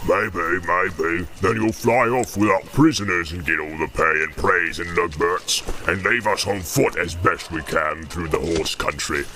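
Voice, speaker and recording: A man voicing a brutal, commanding orc captain in a deep, menacing growl for a fantasy audiobook. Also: a little slow, hardly any pauses, sharp tone, heavily acted. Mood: gruff. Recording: noisy home-made audiobook with ambience.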